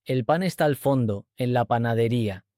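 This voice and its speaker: Male host-teacher, mellow voice, even measured read, dry close sound. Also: mid-range voice, no echo. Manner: clear, even, measured delivery. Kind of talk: slow scripted dialogue reading.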